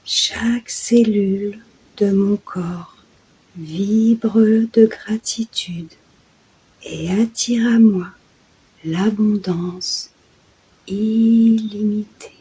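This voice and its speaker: A woman leading a guided sleep meditation in a soft, soothing, hushed voice that often drops toward a whisper. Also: mid-range voice, fairly even intonation, very slow, long pauses, husky, low-quality recording. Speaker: guided meditation host and yoga nidra guide.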